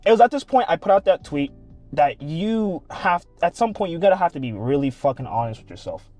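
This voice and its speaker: Man, casual podcast chat, blunt and swearing, slightly high voice, faint music behind. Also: big pitch swings, a little fast, dark tone. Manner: frank, heavy swearing, musing aloud.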